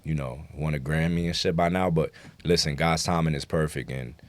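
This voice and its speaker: A man's voice: very deep voice, fairly even intonation, a little fast, no echo. A man talking casually as a rapper guest in an interview, slangy, profane and self-assured. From New York City, United States.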